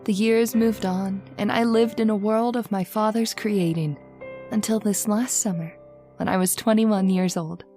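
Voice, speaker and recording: A woman's voice: medium pitch, steady tone, a little slow, dark tone, faint music behind, natural voice. A woman playing a lonely, romantic twenty-one-year-old daughter in an old-time radio drama, with a wistful, formal manner. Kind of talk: old-time radio horror drama acting, first-person narration.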